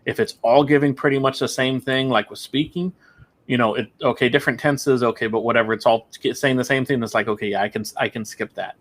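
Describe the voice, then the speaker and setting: Man, panelist, video call, thinking aloud, mid pitch. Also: fairly even intonation, fast talker, a bit bright. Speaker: panelist, software coach. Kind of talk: casual explanation.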